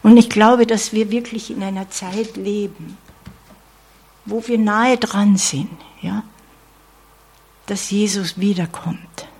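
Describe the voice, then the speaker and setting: A woman delivers a sermon at a slow, deliberate pace, checking in with her listeners through small "ja?" asides. Her voice is breathy and soft-edged, and it often falls to a near whisper before rising again with emphasis. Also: mid-range voice, steady tone. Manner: earnest, with frequent "ja?" asides and dropped whispers. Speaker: preacher.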